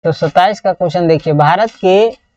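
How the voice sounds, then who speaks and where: A woman's voice: fairly low pitch, normal speed, warm tone, recorded from a distance. A woman with a Bihari accent teaching an exam-prep lesson, reading out model answers in a didactic way and repeating phrases. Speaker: teacher of political science. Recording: roomy echo, dull lo-fi sound.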